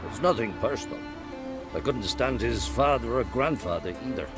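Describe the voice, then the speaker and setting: A man's voice: mid-range voice, animated, moderate pace, music in the background, lightly acted. A man voicing a learned, grumpy old druid in a video game, wry and sententious in manner. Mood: informative, wry.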